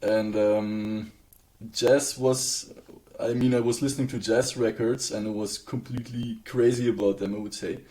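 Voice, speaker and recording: A young man from Belgium, a guest musician in a casual interview, speaking in a relaxed way with hesitant fillers. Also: fairly low pitch, moderate pace, slight background noise.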